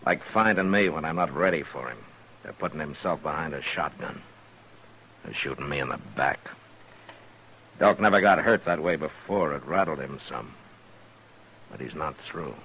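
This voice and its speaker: Really low voiced tough american frontier marshal, blunt n deliberate, old radio western, noisy recording. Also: male voice, bright, forceful tone, full character voice. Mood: calm, wary. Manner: deliberate, blunt, dryly menacing. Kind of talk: 1950s western radio acting.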